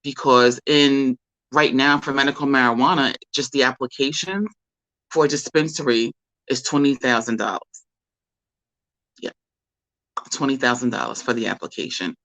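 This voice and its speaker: An American host with a slightly raspy voice, a bit under the weather, speaking informally and deliberately in a solo livestream commentary. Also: mid-range voice, a bit bright, poor audio. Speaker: host of a community talk show.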